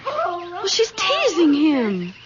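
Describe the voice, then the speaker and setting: An actress playing a fretful, imploring young wife in a 1970s radio drama. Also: female voice, very high voice, huge pitch swings, very slow, over a noisy crowd, full character voice. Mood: puzzled, uneasy. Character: anxious, pleading young wife, cautious and sympathetic. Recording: muffled, noisy old radio broadcast.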